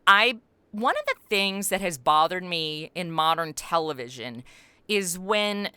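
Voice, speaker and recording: A woman with a bright, forceful voice and very clean tone talks as a guest in an interview. She explains things in an expansive, animated storytelling style, and the sound is dry and close. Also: fairly low pitch, unhurried, clear, resonant tone, dry recording. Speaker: podcast creator and writer, interview guest. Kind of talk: conversational interview answer.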